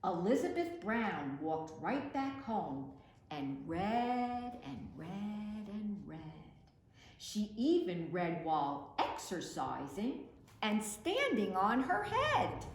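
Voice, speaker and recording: A woman reading picture books aloud for children in an expressive, deliberate storytelling delivery, recorded in a roomy space. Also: mid-range voice. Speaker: children's librarian, storytime reader. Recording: roomy echo with faint background noise.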